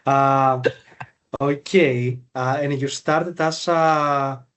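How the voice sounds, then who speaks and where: Flat-voiced man rambling through questions. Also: mid-range voice, extremely slow. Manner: loose, rambling questions with many fillers. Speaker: podcast host, recruiter. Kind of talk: interview questions, casual chat.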